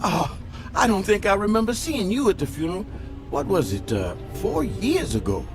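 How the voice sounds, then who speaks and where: A talkative small-town cab driver, folksy and prying. His pitch jumps around widely in radio play style, over music and vehicle noise. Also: very animated, moderate pace. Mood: chatty, prying. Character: chatty, nosy small-town male taxi driver. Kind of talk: radio drama acting.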